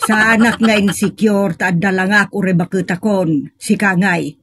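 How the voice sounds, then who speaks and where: An actress playing a nagging, suspicious older wife in an audio comedy drama, scolding with sarcastic complaints. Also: female voice, medium pitch, steady tone, normal speed, fairly bright tone, husky. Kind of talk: comedy radio-style drama acting.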